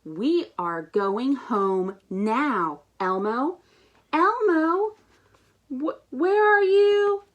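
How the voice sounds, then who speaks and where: A woman's voice: sits high, lively intonation, very slow, highs cut off, full character voice. Woman putting on an anxious, melodramatic furry monster. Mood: alarmed. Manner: exasperated, emphatic, theatrical delivery. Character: anxious, dramatic, pleading furry male monster Grover.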